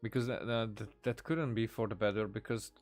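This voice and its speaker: A man giving casual live game commentary as a streamer, halting and searching for his words. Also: fairly low pitch, steady tone, soft background music.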